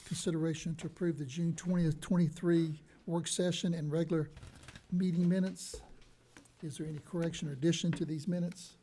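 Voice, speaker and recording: Male chair, soft and mellow, steady procedural delivery. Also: a little high, a little fast, slight background noise. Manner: soft-spoken, formal procedural phrasing. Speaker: board chairman. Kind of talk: chairing a public meeting. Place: county meeting hall. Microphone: desk microphone.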